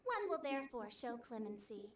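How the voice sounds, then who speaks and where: A woman's voice: a little high, sing-song, normal speed, roomy sound, far from the mic, heavy background noise. A woman voicing a haughty young princess in a video game, with pompous, theatrical, archaic speech. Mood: lofty, condescending.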